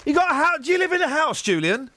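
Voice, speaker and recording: A man's voice: very high voice, very animated, fast, bright, forceful tone, a little hiss or hum. A bunged-up male radio host bantering with callers in a joking, mock-indignant way. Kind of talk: playful phone-in banter. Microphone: studio mic.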